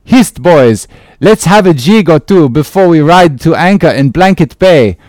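A man voicing a lively sailor who rouses his shipmates to dance. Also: a little high, measured pace, dry recording, voice pushed up. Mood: rousing. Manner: rousing, exclamatory. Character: lively dance-loving sailor rallying a jig.

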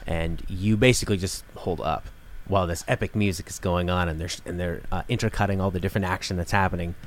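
A man's voice: deep voice, fairly even intonation, fast talker, a little hiss or hum. A man co-hosting a casual discussion, explaining things in a conversational way. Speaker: podcast co-host discussing video games.

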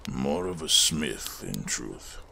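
Very deep, gravelly weathered smith. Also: male voice, big pitch swings, pushed and bright, slight background noise, full character voice. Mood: wistful, solemn. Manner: measured, reflective, weighty. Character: weathered male smith, former fire preacher, solemn foreign survivor. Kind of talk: fantasy audio drama acting.

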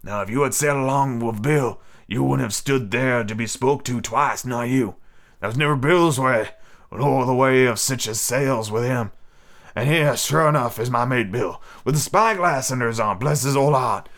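A man voicing a fawning, menacing old male pirate sailor who drags his words out with sudden sharp rises. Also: mid-range voice, lightly acted. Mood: wheedling. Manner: drawn-out, wheedling delivery with sudden sharp rises.

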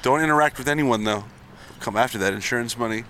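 A man riffs and goads his co-host in loose outdoor banter. His voice is bright but worn from a long day of talking. Faint city noise sits behind him. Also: mid-range voice, fast talker. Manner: provocative, teasing, rambling. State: losing his voice. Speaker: podcast co-host. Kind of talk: joking banter.

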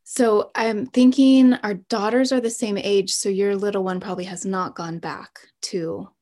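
A woman hosting a podcast interview, chatty and curious, asking warm follow-up questions and sharing her own stories. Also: mid-range voice, fairly even intonation, unhurried. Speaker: podcast host. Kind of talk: casual interview conversation.

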